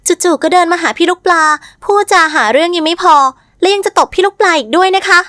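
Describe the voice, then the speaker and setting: A woman's voice: extremely high pitch, fast talker, fairly bright tone, dry recording, studio quality, pitched above the performer's own voice. A woman reading a Thai novel aloud, voicing a young female hotel receptionist who talks in a sugary, simpering way.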